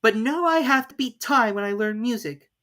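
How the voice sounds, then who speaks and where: A curious, proper little storybook girl, played by a woman, earnest and a bit puzzled. Her voice is lifted high and moves with lots of expression over music. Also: animated, moderate pace, fairly bright tone. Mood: thoughtful.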